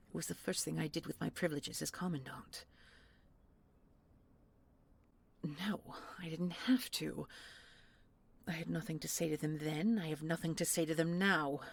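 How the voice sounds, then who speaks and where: Woman acting a husky, gravelly, guarded spy commandant. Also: low-pitched, heavily acted. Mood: weary, curt. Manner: halting, defensive, curt. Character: deposed female spy commandant, bitter, guarded and strung tight. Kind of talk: audio roleplay acting.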